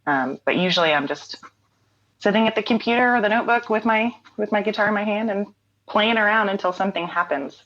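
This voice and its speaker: A woman's voice: medium pitch, a little fast, a bit bright, lo-fi sound. A woman in her late thirties, a singer-songwriter guest in an interview, talking thoughtfully with frequent "um" and "like" and easy laughter. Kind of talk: reflective conversational interview.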